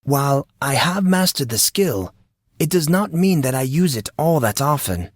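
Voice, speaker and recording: A man voicing a genteel, wry butler who speaks formally and with deadpan calm. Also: fairly high pitch, moderate pace, dry recording, clean studio sound, pitched above the performer's own voice. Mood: amused, polite. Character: genteel male butler, polite, wry and unflappable.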